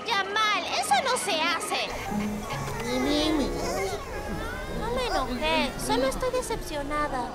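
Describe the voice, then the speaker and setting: A woman's voice: very high-pitched, very animated, moderate pace, a loud crowd. A woman voicing an earnest, nerdy young cartoon girl with a precise, dramatic way of talking. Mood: exasperated. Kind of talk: animated cartoon dubbing. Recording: music behind the voice.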